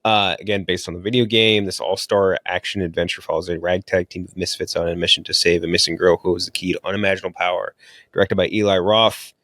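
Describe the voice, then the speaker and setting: Casual, low-voiced man reviewing movies. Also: steady tone, fast talker, fairly bright tone, no echo. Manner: informal, blunt, swearing. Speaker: podcast host reviewing movies.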